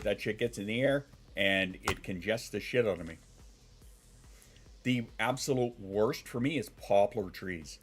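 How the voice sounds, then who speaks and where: A man's voice: on the low side, husky. A man in his thirties, congested and sniffling, chatting casually on a live stream, rambling, swearing and reacting to chat. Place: home.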